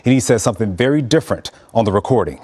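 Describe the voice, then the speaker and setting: A man's voice: fairly low pitch, animated, racing, darkish tone, slightly rough. An American man reading a scripted broadcast news report.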